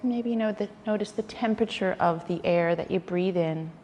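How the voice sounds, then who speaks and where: A woman leading a guided yoga nidra relaxation, speaking in a soothing, gentle way with unhurried pauses. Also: fairly low pitch. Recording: long reverberant hall, faint background noise.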